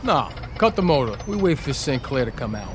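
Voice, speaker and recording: A man's voice: medium pitch, big pitch swings, brisk, natural voice. A man playing a tough, menacing 1920s gangster boss in an audio drama, slangy and full of grudge. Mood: bitter, menacing. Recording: noisy idling car and street ambience.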